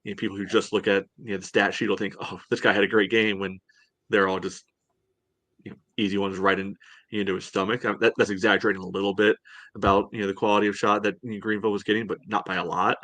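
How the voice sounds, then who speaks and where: A man's voice: on the low side, little pitch movement, fast talker, sharp tone, dry recording. A man co-hosting a soccer podcast, talking in a casual, hedging, conversational way. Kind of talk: casual sports discussion.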